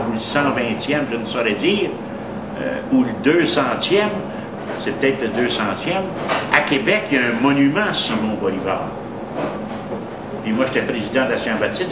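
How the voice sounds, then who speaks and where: A man with a Québécois French accent lectures on history, wandering off into asides and restarting his sentences. He leans hard on important words. He sounds far from the mic in a roomy, echoing space over steady background noise. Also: mid-range voice. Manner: digressive, restarts often, emphatic on key words.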